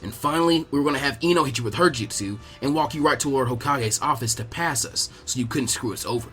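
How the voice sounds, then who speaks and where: Male reader as dry, clever, weary anime boy strategist, lowered register, unhurried. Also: fairly bright tone, faint music behind, natural voice. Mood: matter-of-fact.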